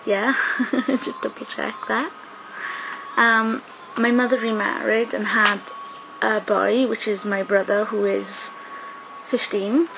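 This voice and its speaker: A woman's voice: on the high side, fairly even intonation, takes it slowly, vocal fry, background noise. A young woman, chatting casually to her webcam, full of ums and likes. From South Wales. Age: young adult. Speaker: vlogger. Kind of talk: casual talking-to-camera vlog. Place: small room indoors. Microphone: webcam at close range.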